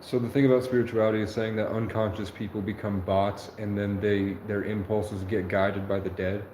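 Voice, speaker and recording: Rambling young man, mellow and echoey. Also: fairly low pitch, flat delivery, moderate pace. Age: 20s. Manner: meandering, self-correcting, earnest. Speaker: livestreamer. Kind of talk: rambling livestream monologue. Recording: echoey room with faint noise.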